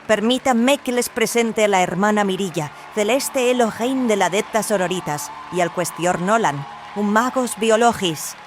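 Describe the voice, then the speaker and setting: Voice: medium pitch, moderate pace, few pauses, some chatter behind, a little hiss or hum, pitched above the performer's own voice, close to an everyday voice. A performer voicing a solemn, ceremonious clone leader who declaims grandly in an audio drama. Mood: ceremonious, welcoming.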